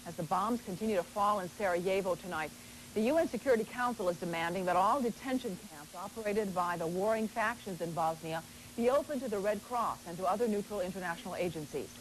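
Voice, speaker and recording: A female news anchor reading studio news in a crisp, formal way. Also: mid-range voice, fast talker, slight background noise, poor audio.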